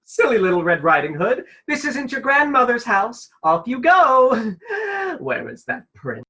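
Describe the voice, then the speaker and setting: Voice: mid-range voice, big pitch swings, unhurried, bright, forceful tone, a little hiss or hum, heavily acted. An actor plays a stuffy storybook narrator in a radio play. The delivery is theatrical and widely swooping, insistent and controlling, easily flustered. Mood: dismissive.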